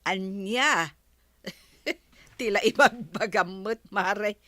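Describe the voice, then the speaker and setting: Slow-talking neighbor lady, Ilocano accent. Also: mid-range voice, bright, forceful tone, no echo. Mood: sympathetic. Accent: Ilocano Filipino. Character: unhurried, sympathetic woman neighbor. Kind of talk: radio comedy-drama acting.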